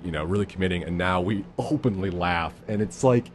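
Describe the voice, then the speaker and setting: A man in his thirties with a low voice, a guest in a casual podcast chat, talking in a laid-back, self-deprecating, rambling way. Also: brisk, slight background noise. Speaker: improv guest.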